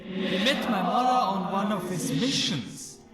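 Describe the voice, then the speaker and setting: Man voicing an echoey, high-pitched ghost mercenary. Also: measured pace. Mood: fond, reflective. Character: ghost mercenary archer, male elf, stoic yet wry and loyal. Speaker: game follower character. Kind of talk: scripted fantasy game dialogue. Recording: echoey voice under loud music.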